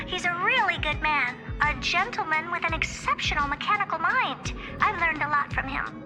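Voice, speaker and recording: A woman voicing a proud, eccentric, youthful female genius scientist in a video game, self-assured and theatrical, delivering scripted monologues. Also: very high voice. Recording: music behind the voice. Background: music.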